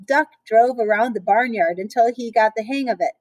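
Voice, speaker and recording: A woman reading a picture book aloud for children in a playful, expressive way, with chatty asides. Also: fairly high pitch, moderate pace, no echo.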